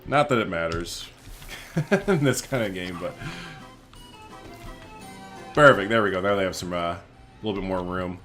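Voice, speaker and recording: A man's voice: low voice, moderate pace, long pauses, a bit bright, faint music behind. A man hosting a gaming video, chatting casually and playfully as he thinks aloud through his play. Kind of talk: casual let's play commentary.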